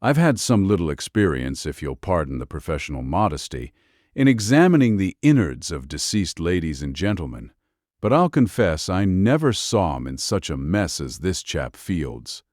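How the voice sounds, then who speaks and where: An actor playing a brisk, sardonic male medical examiner in an audiobook drama, grumbling in a dry, grim manner. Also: deep voice, big pitch swings, darkish tone, dry recording.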